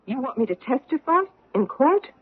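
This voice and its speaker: A woman's voice: sits high, big pitch swings, moderate pace, husky, full character voice. An actress playing a defensive, evasive housewife hiding her past, in a 1970s radio drama. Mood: guarded. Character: guarded middle-aged American housewife hiding her past. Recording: tinny old radio broadcast.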